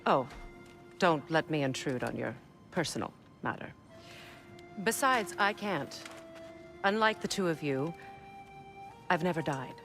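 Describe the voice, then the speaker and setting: Sardonic game goddess: lowish pitch, proud and commanding, dry, pointed delivery. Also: female voice, animated, unhurried, long pauses, fairly bright tone, heavily acted. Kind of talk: video game cutscene acting. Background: game music.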